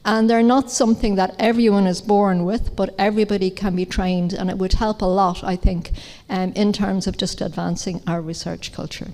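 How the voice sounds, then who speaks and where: A woman's voice: mid-range voice, fairly even intonation, a little mellow. Woman speaking in a reverberant hall. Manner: measured and explanatory, with hesitations. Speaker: academic panelist. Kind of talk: conference panel talk. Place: large conference hall.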